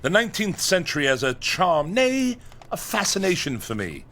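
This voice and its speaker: An actor playing a pompous, exasperated scholar of the nineteenth century, with fussily formal, old-fashioned diction in a comic radio drama. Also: male voice, sits high, sing-song, moderate pace, a bit bright, heavily acted. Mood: pompous, wistful.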